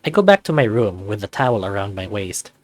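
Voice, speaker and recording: A man's voice: lowish voice, very animated, fairly quick, no echo. A young man reading first-person visual novel narration in a cocky, wry, conversational manner.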